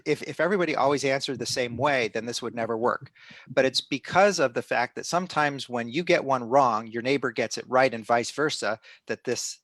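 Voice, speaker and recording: A middle-aged man hosts a live online stream and explains the project to players. He talks at a medium pitch and stops often to restart his sentences. The sound is dry, with little room tone. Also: fairly bright tone, dry recording. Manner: explanatory, many restarts and fillers. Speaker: host of a live citizen-science stream.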